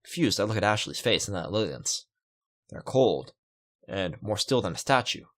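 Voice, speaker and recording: A man's voice: fairly low pitch, sing-song, moderate pace. A man reading a visual novel aloud and adding his own comments, sometimes stumbling over the words. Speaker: video game playthrough host. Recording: loud piano music behind. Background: piano game music.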